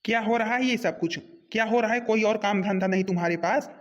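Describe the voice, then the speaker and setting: A man's voice: high voice, quick pace, dull audio, close to an everyday voice. A man voicing an angry, domineering elder brother in a family comedy cartoon, scolding and repeating himself bossily. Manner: scolding, repetitive, bossy.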